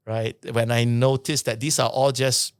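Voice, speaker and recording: A man, a podcast guest, chatting in a lively way and often laughing between his stories. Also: mid-range voice, clear, resonant tone, dry recording, studio quality. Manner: animated, quick, laughing often, repeats "yeah". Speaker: podcast guest, trainer and speaker. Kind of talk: casual storytelling chat.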